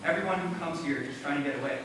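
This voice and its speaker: Loud noise and heavy echo surround a young man playing a guarded, lonely teenage boy on stage. His voice is slightly high and comes from far away. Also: fast talker, close to an everyday voice. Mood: probing. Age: teens or young adult. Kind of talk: school stage play acting. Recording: noisy, echoey stage from far off.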